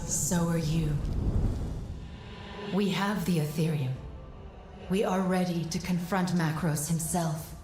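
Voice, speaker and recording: Determined mystic advisor in a game: grave, deliberate, lower-toned, with echo. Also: female voice, fairly even intonation, over music. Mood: solemn urging. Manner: grave, measured, urging. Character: solemn, determined mystic guide to a warrior. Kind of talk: dramatic video game cutscene acting. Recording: echoing voice over game score.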